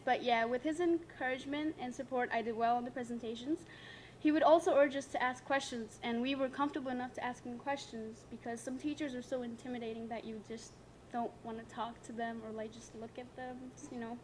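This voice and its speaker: A woman's voice: on the high side, slight background noise. A young woman, giving a prepared tribute speech as a student, giggly and self-conscious between lines. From Nepal. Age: late teens.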